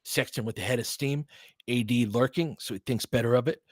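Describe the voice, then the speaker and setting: A man calling basketball play-by-play as a fan commentator, clipped and quick, breaking into excited bursts and short laughs. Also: medium pitch, dry recording, clean studio sound.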